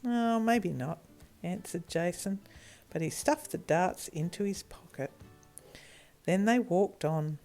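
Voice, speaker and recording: A woman narrating a children's story in an expressive storytelling style. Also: fairly low pitch, a little slow, soft background music.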